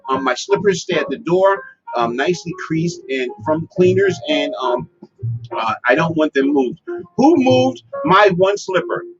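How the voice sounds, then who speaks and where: A man's voice: on the high side, expressive, unhurried. Rambling man with a strong voice. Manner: rambling, many fillers and restarts. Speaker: host. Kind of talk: casual advice livestream. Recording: lo-fi with music behind. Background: guitar music.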